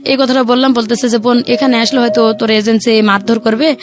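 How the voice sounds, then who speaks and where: High-pitched Bangladeshi woman recounting her life. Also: little pitch movement, a little fast. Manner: colloquial rural speech, re-enacts dialogue. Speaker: guest, returned migrant domestic worker. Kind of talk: personal life-story telling in an interview. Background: faint music.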